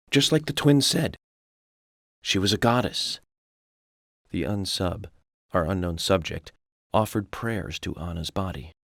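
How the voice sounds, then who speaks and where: Cool FBI profiler, very low voice, gravelly fry, self-assured, lecturing, video game cutscene. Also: male voice, dry recording, studio quality, heavily acted. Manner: measured, lecturing, matter-of-fact. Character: coolly analytical, self-assured male FBI agent investigating murders.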